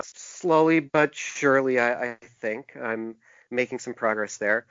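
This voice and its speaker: A middle-aged man talks casually as a podcast guest, pausing and restarting as he reflects on himself. His voice sits at an average male pitch. The recording is very dry and a little dull. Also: fairly bright tone, dry recording. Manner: hesitant, halting, self-reflective. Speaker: podcast guest, author and small publisher. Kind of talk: casual interview conversation.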